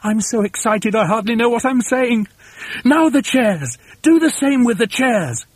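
A bossy father monkey, barks orders in a high, swooping voice. He is impatient and wildly excitable, with a rough edge to the sound, like a storybook leader in a frenzy. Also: measured pace, no echo, exaggerated voice. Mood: excited, urgent. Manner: shouting orders, exclamatory, impatient.

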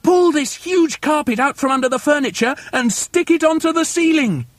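Frantic, high-pitched bossy monkey leader. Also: male voice, expressive, a little slow, breathy edge, no room sound, heavily acted. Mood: gleeful, commanding. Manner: shouting orders, exclamatory, impatient.

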